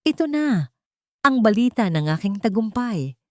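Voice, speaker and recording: A woman's voice: a little high, big pitch swings, normal speed, dry recording, studio quality, pitched above the performer's own voice. Woman acting a haughty, gloating old matriarch. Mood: triumphant eagerness. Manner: pointed emphasis, feigned concern. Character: haughty old rich mother-in-law, falsely sweet, gloating.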